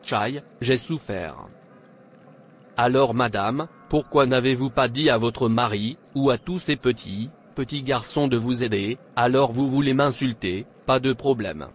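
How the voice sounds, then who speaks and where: A man's voice: medium pitch, unhurried, natural voice. Guy voicing a broke hungry cartoon man, proud, pleading and complaining, noise in the background. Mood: irritated. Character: desperate, proud, hungry jobless man praying to God.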